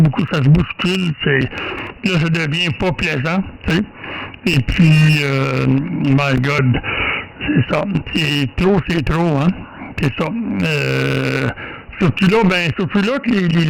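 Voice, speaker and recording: Creaky-voiced Canadian French man, hesitant. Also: fairly high pitch. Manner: hesitant, searching for words, repeating himself. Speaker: amateur radio operator. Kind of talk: casual radio chat. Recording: noisy amateur radio audio.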